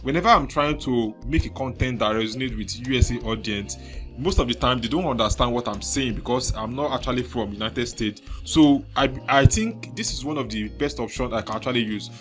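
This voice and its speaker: A man's voice: medium pitch, brisk, a bit bright, soft background music. Nigerian man walking through a tutorial. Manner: chatty, leans heavily on filler words like "so" and "actually". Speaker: tutorial host. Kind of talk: screen-recorded software tutorial.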